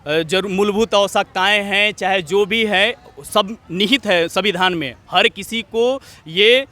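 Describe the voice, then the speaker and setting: A man's voice: high voice, measured pace, sharp tone. A young man giving earnest, repetitive, opinionated answers in speech-like phrasing as a student leader in a street interview. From Jharkhand, India. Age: young adult.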